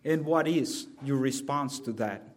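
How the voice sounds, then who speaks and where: A man from El Salvador, preaching a sermon as a guest preacher and building from quiet words to loud emphasis. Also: mid-range voice, measured pace.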